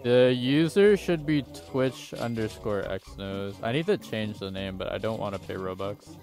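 A man's voice: lowish voice, expressive, unhurried, a little mellow, faint music behind. A man in his twenties chatting casually on a game livestream through a headset mic, rambling and laughing at himself. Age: 20s. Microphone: headset mic at desk.

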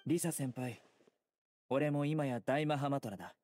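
A man voicing a stern, serious young desert-born enforcer in an anime game, speaking formally and bluntly in a deadpan. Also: mid-range voice, a little slow, dry recording, clean studio sound, lightly acted. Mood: serious, composed. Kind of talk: Japanese anime game voice acting. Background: music.

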